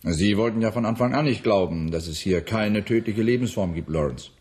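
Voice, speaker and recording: Low, husky, subdued man. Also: fairly quick, a little mellow. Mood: resigned. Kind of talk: German science fiction radio drama acting.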